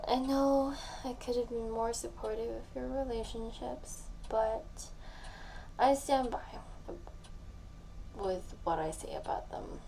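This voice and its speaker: Actress as drunk young woman, lovesick best friend, needy, slow halting rambling, mellow tone, audio roleplay voicemail, faint background noise. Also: a little high, gravelly, poor audio. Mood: fond, frustrated. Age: young adult. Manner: drawn-out, rambling, sighing, halting. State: tipsy.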